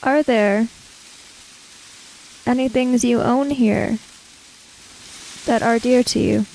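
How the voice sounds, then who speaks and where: A woman playing a calm, courteous female samurai in a tabletop game, measured, formal and reserved as she questions a spirit. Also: fairly high pitch, gravelly, slight background noise, poor audio. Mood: gentle, solemn.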